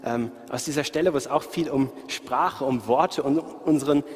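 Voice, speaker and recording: A man from the Ruhr area with a light Ruhr accent, preaching in a relaxed, conversational way and talking straight to his listeners. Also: mid-range voice, normal speed. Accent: light Ruhr German. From Ruhr area, Germany. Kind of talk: sermon. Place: church hall, live audience and online stream. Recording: reverberant hall.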